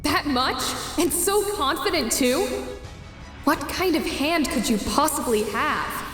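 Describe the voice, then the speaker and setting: Woman acting a high-voiced, slow, musing teenage girl. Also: expressive, fairly bright tone, heavily acted. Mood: pensive, wary. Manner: deliberate, musing to self. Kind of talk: webcomic fan dub acting with inner monologue. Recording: roomy voice with music behind.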